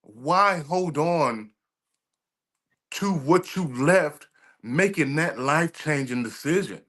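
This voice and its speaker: A man's voice: on the high side, animated, slow, fairly bright tone. A man co-hosting a podcast, chatting casually and telling stories in an emphatic, profane way. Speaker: podcast co-host. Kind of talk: casual chat.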